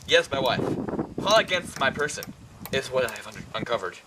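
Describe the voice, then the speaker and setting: A man's voice: medium pitch, expressive, normal speed, background noise, lightly acted. A young man playing a suspicious, anguished king in an amateur puppet-show tragedy, reciting stiffly with stumbles. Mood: accusing.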